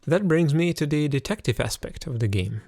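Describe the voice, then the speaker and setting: A man's voice: a little high, animated, a little fast, vocal fry, darkish tone, dry recording. A man narrating a scripted game review as its host.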